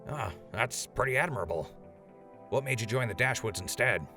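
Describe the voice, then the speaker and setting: An actor playing a chatty, upbeat radio host in an audio drama. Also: male voice, medium pitch, expressive, normal speed, over music, close to an everyday voice. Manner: chatty, upbeat broadcaster patter. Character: eager male wasteland radio host. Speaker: radio show host in story.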